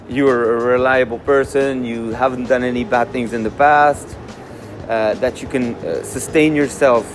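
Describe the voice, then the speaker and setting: A man from Morocco in his twenties, answering interview questions in a candid, easygoing way with frequent fillers. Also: mid-range voice. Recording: music under voice.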